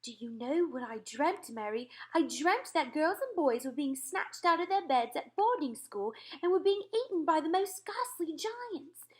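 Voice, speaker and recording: A woman's voice: high voice, voice pushed up. A woman reading aloud and voicing a refined, upper-class queen who exclaims and gives orders. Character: refined elderly queen, composed yet shaken.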